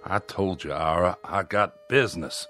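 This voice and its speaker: A man's voice: sits low, moderate pace, a bit bright, faint music behind. An actor playing an earnest, folksy Old West man organizing a posse, in a radio drama with an American Western drawl. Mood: urgent, earnest. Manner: folksy, insistent.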